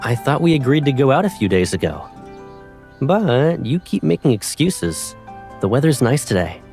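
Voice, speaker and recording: A man's voice: mid-range voice, sing-song, moderate pace, gravelly, a little mellow, faint music behind. An actor playing a playful, caring young man who teases and cajoles, in a fan dub of a romance game. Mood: coaxing. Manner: teasing, lightly cajoling.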